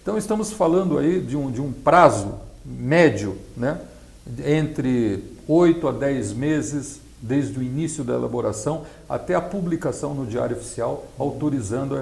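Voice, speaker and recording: A Brazilian man giving explanatory interview answers as a specialist, in a measured, didactic way. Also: mid-range voice, animated, gravelly, a little mellow. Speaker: accountant and project specialist being interviewed. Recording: echoey, roomy sound.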